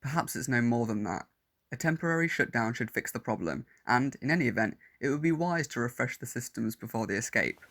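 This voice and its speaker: Casting: male actor as menacing alien warrior machine in audio drama, mid-pitched, cold, clipped and clinical. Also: lively intonation, brisk, fairly bright tone. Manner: formal, clipped, clinical speech. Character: cold menacing alien war android posing as teenage boy.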